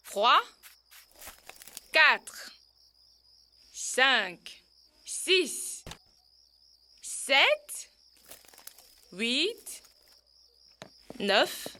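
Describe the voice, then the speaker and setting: Eager owl with lively, high voice. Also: female voice, extremely slow, long pauses, full character voice. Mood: excited, playful. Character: eager, friendly owl who sings at night. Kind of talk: children's educational cartoon acting.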